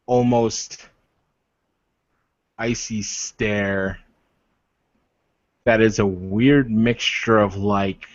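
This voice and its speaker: A man narrating a tabletop roleplaying game as game master, halting and searching for words. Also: lowish voice, steady tone, no room sound, highs cut off.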